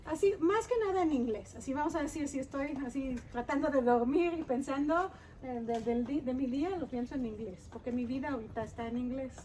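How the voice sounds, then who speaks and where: A woman author from Israel with an Israeli accent, talking casually in an interview and pausing to search for words. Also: high voice, warm tone. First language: Hebrew. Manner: halting, searching for words in Spanish. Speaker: author guest. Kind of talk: conversational interview. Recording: noisy background.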